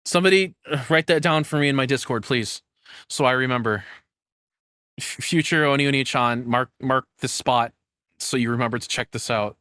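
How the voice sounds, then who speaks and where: A man's voice: mid-range voice, moderate pace, bright, forceful tone, no room sound, clean studio sound. A man giving casual, informal reaction commentary as a streamer, with hesitant asides. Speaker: VTuber reacting to a video.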